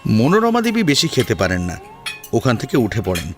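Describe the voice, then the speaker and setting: A man's voice: mid-range voice, huge pitch swings, normal speed, faint music behind. A man narrating a scripted family drama as a storyteller, in a dramatic audio-story style.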